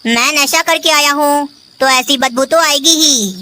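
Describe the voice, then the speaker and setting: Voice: high-pitched, measured pace, pushed and bright, a little hiss or hum. An actor voicing a bullying, drunken male sparrow, a rude and domineering husband in a children's cartoon. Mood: angry, defiant. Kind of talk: Hindi children's cartoon dialogue.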